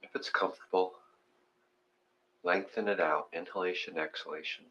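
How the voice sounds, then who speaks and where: A man casually giving step-by-step guided meditation instructions in an informal, gently encouraging way. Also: low-pitched, fairly even intonation, moderate pace, muffled recording, a little hiss or hum.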